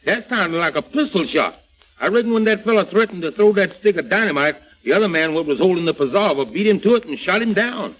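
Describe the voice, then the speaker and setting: Folksy, wary sidekick for an old radio adventure; high and very lively. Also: male voice, fast, low-quality recording. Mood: insistent. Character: Charcoal, cautious superstitious Southern companion of two boys. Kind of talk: 1940s children's radio serial acting.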